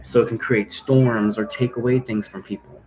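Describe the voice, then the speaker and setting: A man's voice: medium pitch, fairly even intonation, normal speed, a little mellow, a little hiss or hum, low-quality recording. A man giving a casual, rambling tutorial to viewers in an informal, repetitive way, often checking in as he goes. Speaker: host giving an occult divination tutorial.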